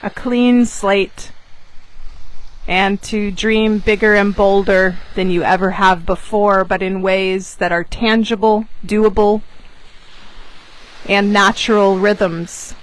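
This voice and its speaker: A woman who grew up in England with American parents tells a personal story as a manifestation coach, expressively, with dramatic pauses for emphasis. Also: medium pitch, fairly even intonation, poor audio. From born in Japan, grew up in England, American parents. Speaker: manifestation coach and class teacher. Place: outdoors on a beach.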